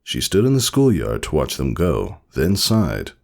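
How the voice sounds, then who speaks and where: Deep-voiced, creaky male story narrator. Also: wildly swooping pitch, unhurried. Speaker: fan fiction narrator.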